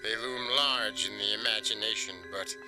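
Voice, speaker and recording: An actor voicing a grandiose cartoon TV host with a ponderous, theatrical manner. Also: male voice, on the low side, fairly even intonation, moderate pace, music in the background, natural voice, poor audio. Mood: ominous. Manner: ponderous, dramatic. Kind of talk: theatrical documentary-style cartoon narration.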